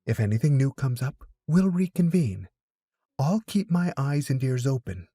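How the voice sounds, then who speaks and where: A man voicing a bossy, sharp-tongued teenage girl detective with a businesslike manner in an audiobook. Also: medium pitch, big pitch swings, normal speed, breathy edge, no room sound. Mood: brisk, commanding. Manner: commanding, businesslike. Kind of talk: audiobook character dialogue.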